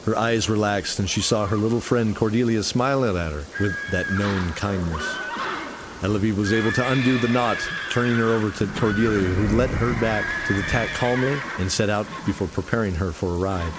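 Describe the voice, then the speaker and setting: A man's voice: fairly low pitch, fairly quick, heavy background noise. A man narrating a scripted fantasy radio play in an earnest, dramatic storytelling style.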